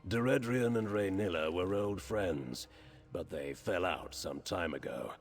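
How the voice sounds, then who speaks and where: A man's voice: sits low, a little slow. A man playing a chatty, slyly menacing crime lord in a fantasy video game, with an insinuating, falsely courteous manner. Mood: sly, bargaining. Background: background music.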